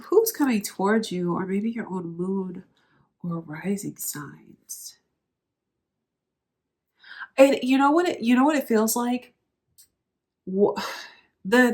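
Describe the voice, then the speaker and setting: A woman with a nasal, stuffed-up voice giving a tarot reading, speaking directly and earnestly to the viewer. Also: medium pitch. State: nasal from allergies. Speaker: tarot reader.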